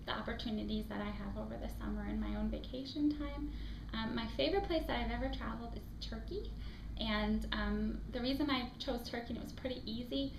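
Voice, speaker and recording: A woman from Afghanistan with an American accent speaks as a school admissions director in an interview. She talks in an earnest, reflective way, often pausing on an um, her voice sitting a little high. She is recorded in an echoey room with clear background noise. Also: fairly even intonation. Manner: earnest, reflective, frequent ums. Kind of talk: interview answers to off-camera questions.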